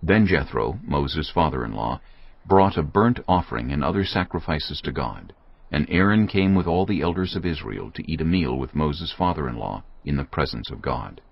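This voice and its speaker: A man narrating scripture in a measured, expressive reading. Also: a bass voice, vocal fry, husky, no echo, muffled recording.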